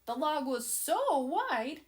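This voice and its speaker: A woman voicing an excited, adventurous young boy who eagerly and dramatically narrates a children's storybook to his listeners. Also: high voice, slow pace, voice pushed up, heavily acted. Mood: excited storytelling. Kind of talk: children's storybook read-aloud.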